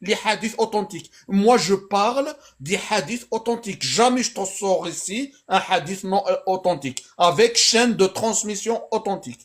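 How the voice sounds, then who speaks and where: A man's voice: sits high, lively intonation, normal speed, hardly any pauses. A man arguing insistently in a heated live debate, talking over the others. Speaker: debate participant.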